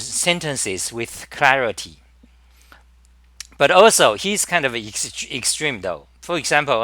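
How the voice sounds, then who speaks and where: A man with a Chinese accent hosting a live-stream talk, speaking in halting bursts with many restarts and repeated words. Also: medium pitch, pushed and bright, dry recording. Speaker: host.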